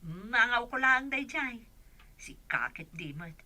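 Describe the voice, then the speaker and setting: A woman playing an excitable, gossipy village shop woman in an Ilocano radio comedy drama, with an Ilocano accent. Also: a little high, takes it slowly, exaggerated voice. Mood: embarrassed, fussing.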